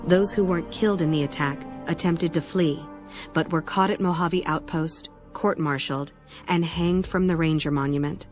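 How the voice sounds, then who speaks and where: A woman's voice: deep voice, steady tone, normal speed, lightly acted. A woman playing a stern chronicler, narrating a scripted video game epilogue. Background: music.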